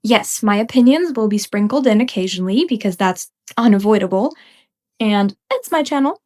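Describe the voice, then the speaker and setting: A woman's voice: medium pitch, lively intonation, normal speed, darkish tone. A young woman hosting her podcast, ranting bluntly and without a script, her voice a little off from a cold. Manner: emphatic and blunt, with occasional laughs. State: slightly congested from a cold. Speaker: podcast host. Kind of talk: unscripted ranting commentary.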